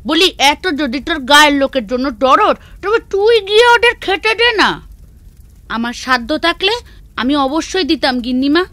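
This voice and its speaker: A woman voicing village women in a children's cartoon with expressive, emphatic dramatic delivery. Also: extremely high pitch, no room sound. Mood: scolding, haughty. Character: village women: maid, mistress, wife, daughter. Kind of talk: Bengali children's cartoon voice acting.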